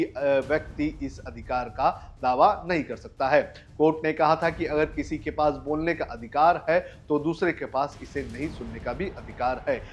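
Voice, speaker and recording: A man presents the news in a scripted narration style over a music bed. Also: on the high side. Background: background music.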